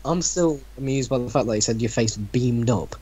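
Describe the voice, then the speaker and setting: A man's voice: mid-range voice, moderate pace, mellow, breathy edge. A young man with a mid-range voice narrates a story for his friends. His delivery moves between dramatic reading and casual banter.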